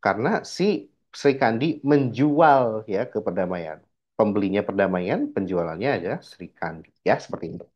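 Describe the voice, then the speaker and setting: A man gives an informal recorded lecture in a fairly low voice. He explains step by step, posing rhetorical questions and answering them himself, with frequent check-in tags. The sound is a little muffled and lo-fi. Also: lively intonation, moderate pace. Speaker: accounting lecturer.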